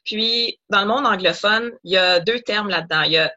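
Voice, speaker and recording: Bright-voiced young woman explaining. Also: medium pitch, moderate pace, lo-fi sound. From Ottawa, Canada. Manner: explanatory, many fillers and restarts. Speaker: doctoral student guest. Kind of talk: interview.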